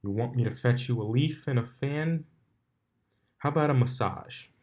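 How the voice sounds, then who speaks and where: Deadpan sarcastic stallion, read by a man. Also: mid-range voice, normal speed, natural voice. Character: sarcastic put-upon male pegasus stallion, grumbling.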